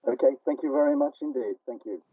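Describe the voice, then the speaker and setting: An older man giving a casual, reflective interview as a playwright, talking in a halting, self-effacing, wordy way. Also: medium pitch, very noisy. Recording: dull, muffled, distant radio-like sound.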